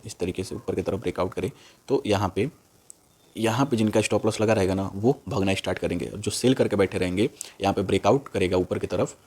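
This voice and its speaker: A young man giving an informal, unscripted tutorial, repeating himself and often tagging phrases with a quick check word. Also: fairly low pitch, darkish tone. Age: young adult. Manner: repetitive, with a frequent "okay" tag after phrases. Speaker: stock trading tutor on YouTube.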